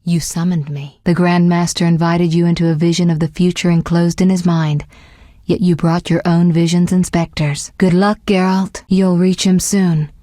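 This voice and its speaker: A composed fantasy game sorceress, played by a woman, speaks in a fairly low voice with very little room sound. She is articulate and measured, caring yet resolute, with a sharp wit and an earnest way of putting things. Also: little pitch movement, no echo, studio quality, close to an everyday voice. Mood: grave. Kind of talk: fantasy video game voice acting.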